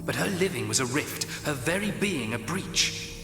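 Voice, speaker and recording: Cold Time Lord in echoing reverb. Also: male voice, on the high side, expressive, moderate pace. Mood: grave, disdainful. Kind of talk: audio drama acting. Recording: echoey hall reverb, loud music. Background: dramatic music.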